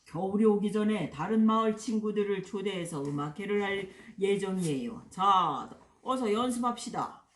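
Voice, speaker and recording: A woman's voice: medium pitch, animated, normal speed, few pauses, lightly acted. A woman voicing an encouraging goat teacher in a storybook, projecting instructions to forest animal children. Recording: roomy echo, faint background noise.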